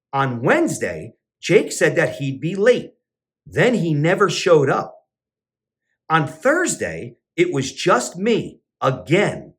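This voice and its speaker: Animated man reading a children's story. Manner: expressive storybook narration with sing-song pitch swings. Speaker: teacher and children's entertainer. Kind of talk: children's read-aloud.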